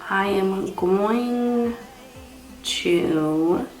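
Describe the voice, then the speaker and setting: A woman's voice: mid-range voice, drawn-out words, faint music behind. A young woman with a slightly congested voice from a cold, chatting casually as she gives a makeup tutorial. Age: young adult. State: has a cold. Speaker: makeup artist and beauty vlogger. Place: small indoor room. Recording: roomy echo.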